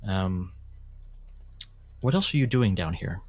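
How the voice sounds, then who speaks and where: A man narrating a tabletop role-playing game as game master, setting scenes in a deliberate, descriptive way. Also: deep voice, animated, a little mellow, breathy edge.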